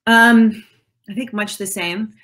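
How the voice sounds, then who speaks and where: A woman from Switzerland in her thirties speaks as an interview guest from her home office. Her voice is bright and clear, and her answers are thoughtful and measured. A faint hiss of noise sits under the video call. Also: medium pitch, fairly even intonation. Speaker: guest, digital art consultant.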